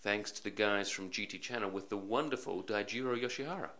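A man's voice: on the low side, brisk, pushed and bright. A man hosting a podcast interview, asking long, rambling questions with repeated phrases. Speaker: podcast host.

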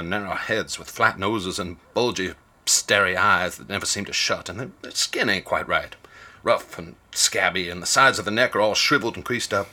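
An actor playing an older small-town ticket agent in a radio drama, a wary gossip with rambling, rustic speech. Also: male voice, lowish voice, bright, forceful tone, heavily acted.

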